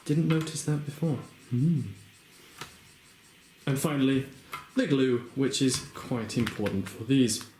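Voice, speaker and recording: A man's voice: medium pitch, steady tone, unhurried, long pauses, noisy background. Chatty man with ums, echoey room. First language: not Japanese. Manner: chatty, hesitant, lots of ums and uhs. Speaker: crafting vlogger. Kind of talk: casual craft tutorial narration. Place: home room, working at a table.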